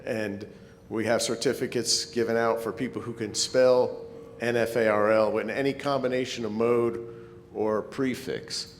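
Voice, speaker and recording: A man's voice: steady tone, a little slow. Man presenting in an echoey hall. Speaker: club presenter. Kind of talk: informal club presentation. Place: meeting hall. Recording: echoey hall, rumbling background noise.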